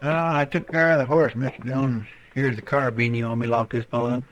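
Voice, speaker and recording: A man's voice: medium pitch, fairly even intonation, a little fast, slight background noise, natural voice. An actor playing an eager, plain-spoken, questioning sidekick to a frontier lawman in a radio western. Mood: businesslike. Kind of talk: old radio western acting.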